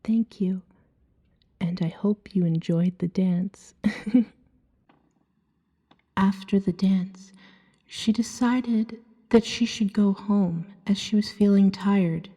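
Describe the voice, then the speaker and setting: A seductive female vampire, played by a woman, speaks in long, washy reverb. Her voice is soft, mellow and breathy, often close to a whisper. She tells her story very slowly, coaxing and hypnotic, in an ASMR roleplay. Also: mid-range voice. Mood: soothing, hypnotic. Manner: slow, soothing, hypnotic second-person narration.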